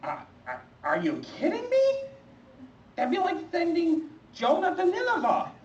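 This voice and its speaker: A whiny, stammering young prophet with a squeaky lisp, as a puppeteer puts him on for a children's church skit. He speaks slowly, with pitch that leaps all over. The sound is muffled and echoey, caught from a distance with faint noise. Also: big pitch swings. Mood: panicky. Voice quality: squeaky, lisping chipmunk-like voice. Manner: stammering, fretful complaining. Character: young reluctant prophet Jeremiah, nervous and whiny.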